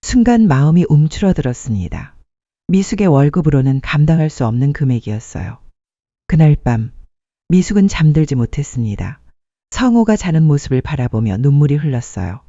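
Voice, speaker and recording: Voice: mid-range voice, big pitch swings, fairly quick, dark tone, dry recording, highs cut off. A narrator telling a scripted dramatic life story in an earnest way, posing rhetorical questions.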